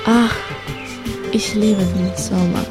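A woman's voice: mid-range voice, steady tone, slow pace. A woman voicing a radio jingle, saying short repeated words and phrases. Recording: loud music and birdsong behind. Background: loud music, birdsong.

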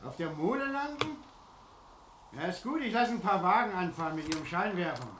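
A man's voice: high voice, lively intonation, brisk. An actor playing a harried, businesslike male police sergeant with clipped delivery in a German radio crime drama. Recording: noisy old radio play, clicking.

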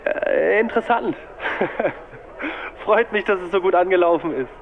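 An actor in a radio play voicing a chummy, nosy drinking buddy through a telephone filter. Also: male voice, sits high, expressive, unhurried, vocal fry, heavily acted. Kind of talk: radio drama acting. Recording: tinny narrow phone line.

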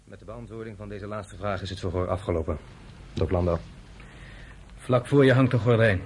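Faint noise sits behind a man acting as a wary underground fighter in a radio play. His voice is fairly low, curt and commanding, with brisk orders and questions. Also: a little mellow, lightly acted. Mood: formal, commanding.

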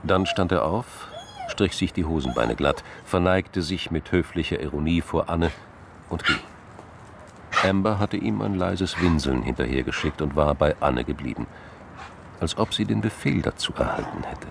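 Low-voiced man narrating a crime drama. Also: expressive, normal speed, creaky, background noise.

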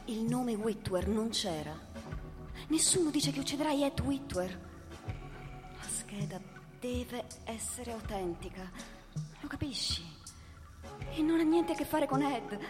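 An actress playing a police chief's concerned, exasperated wife in a pleading, reproachful radio drama style. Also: female voice, a little high, lively intonation, a little slow, pauses often. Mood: sceptical, firm. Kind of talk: theatrical radio drama acting. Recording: reverberant hall, faint music behind.

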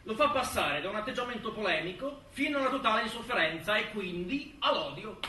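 A moralizing male accuser, pompous and blustering in a high, bright voice. The courtroom harangue comes from some distance in an echoey room. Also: lively intonation, brisk. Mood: accusing. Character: self-righteous male accuser, indignant, moralizing, contemptuous. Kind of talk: stage play acting, courtroom testimony and harangue. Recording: roomy distant stage recording, faint noise.

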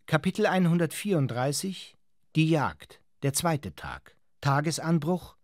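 A man's voice: fairly high pitch, animated, measured pace, dry recording. A man reading present-tense literary narration for a radio drama, close on a studio microphone.

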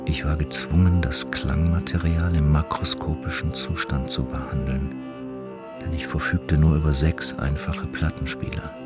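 A man reading a literary text aloud as scripted narration, in a measured, formal way. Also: very deep voice, flat delivery. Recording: music playing behind the voice. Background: music.

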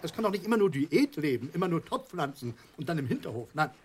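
Excitable, scheming dad, high-pitched and animated. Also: male voice, fast, husky. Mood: eager scheming. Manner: theatrical, rambling, insistent. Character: excitable, scheming, stubborn father arguing over a unicorn. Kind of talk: German radio play acting, satirical dialogue.

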